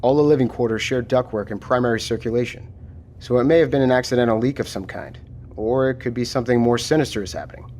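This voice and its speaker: A man playing a steady, matter-of-fact military lieutenant taking charge after a disaster in an audio drama. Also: medium pitch, quick pace, a little hiss or hum, natural voice. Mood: grave, reassuring.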